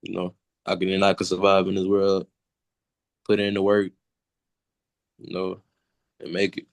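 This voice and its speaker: A young man with a low, monotone voice that circles back on his own phrases. The sound is dry and close on a call mic. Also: moderate pace, creaky, no echo. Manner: repetitive, restarting phrases. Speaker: football player. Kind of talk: casual video call chat. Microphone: video call.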